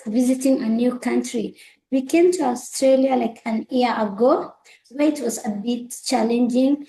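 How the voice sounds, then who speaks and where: A woman's voice: high-pitched, mellow. A young woman from Ethiopia, earnestly telling her story as a student guest. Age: young adult. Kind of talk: prepared personal story. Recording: echoey room.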